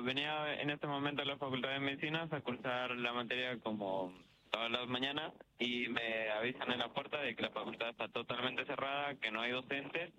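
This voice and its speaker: A man's voice: medium pitch, bright, forceful tone, rough recording. A young man with an Ecuadorian accent answering questions as a student in a spontaneous street interview. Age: young adult. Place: outside a university building. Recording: faint noise on street interview.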